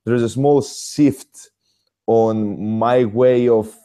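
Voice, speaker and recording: A man with a Greek accent, a native Greek speaker, hosting a casual, animated conversation. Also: mid-range voice, takes it slowly. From Greece. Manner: animated, swears casually, restarts sentences. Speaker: host, motivational speaker.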